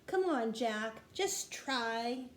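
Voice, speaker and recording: A woman's voice: a little high, extremely slow. A woman voicing a plucky, eager, stubborn little girl in a storybook read-aloud. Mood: insistent. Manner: excitable, urgent, pleading. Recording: roomy echo with light background noise.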